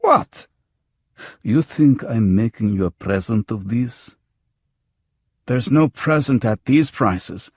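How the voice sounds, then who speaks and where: A man's voice: mid-range voice, lively intonation, slow, pauses often, breathy edge, no echo, studio quality. A man voicing a pushy, amiable, corrupt cop who wheedles and haggles theatrically in an audiobook. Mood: indignant, haggling. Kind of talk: acted audiobook dialogue.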